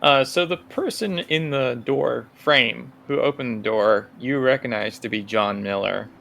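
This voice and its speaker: A man working as game master, improvising scene narration for a tabletop role-playing game in a halting, thinking-aloud way. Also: mid-range voice, a bit bright. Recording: clear background room noise.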